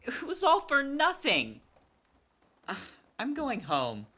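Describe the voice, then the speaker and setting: Actress as an indignant, bright-voiced woman. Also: sits high, wildly swooping pitch, takes it slowly, a little hiss or hum, full character voice. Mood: exasperated. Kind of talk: radio drama acting.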